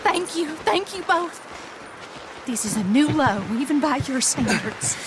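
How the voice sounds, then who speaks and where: A woman's voice: high-pitched, lively intonation, unhurried, noisy background. An actress playing a concerned frontier camp woman in a video game cutscene. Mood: emotional.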